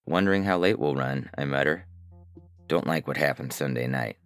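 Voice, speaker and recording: A man playing a weary, paranoid hacker who narrates a science fiction audiobook in a terse, deadpan, sardonic manner. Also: very deep voice, moderate pace, soft background music. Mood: bitter.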